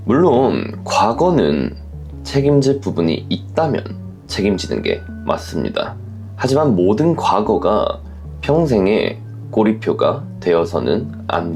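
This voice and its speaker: Low-voiced man with a slightly creaky edge. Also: a little mellow. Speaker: host of a relationship story channel. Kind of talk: scripted advice commentary to camera or listeners.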